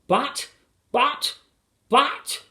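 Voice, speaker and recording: Storybook mother played by a narrator: tiny anxious woman, raised pitch, fretful exclamations, excitable temper. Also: a little slow, roomy sound, full character voice. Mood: shocked.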